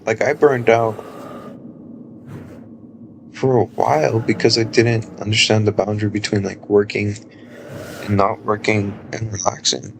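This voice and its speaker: A man in his thirties chatting casually on a live stream, loose and rambling with lots of fillers and a low speaking voice. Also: flat delivery, unhurried, creaky, pauses often, noisy background. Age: 30s.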